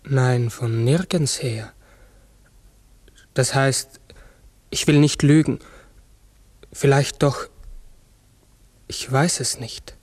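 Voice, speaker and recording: An actor playing a large talking raven in a 1960s radio drama, brooding, uncertain and often correcting himself. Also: male voice, mid-range voice, darkish tone, no echo. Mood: searching, melancholy. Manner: halting, brooding, self-correcting. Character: human-sized talking raven, pensive, uncertain, forgetful.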